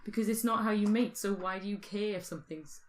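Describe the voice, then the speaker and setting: A woman's voice: mid-range voice, a little fast, slight background noise. A woman podcast co-host in casual speculative discussion, thinking aloud and often posing questions.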